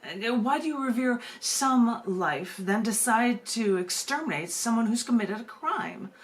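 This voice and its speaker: An American woman speaking as a poet and open mic host, emphatic and conversational, often repeating words haltingly. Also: fairly high pitch, lively intonation. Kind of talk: spoken-word poetry reading and open mic hosting.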